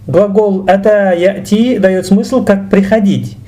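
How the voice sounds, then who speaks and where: A man's voice: sits high, steady tone, slow, mellow. A man teaching in an explanatory video lesson, didactic and careful to enunciate each example. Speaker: language teacher.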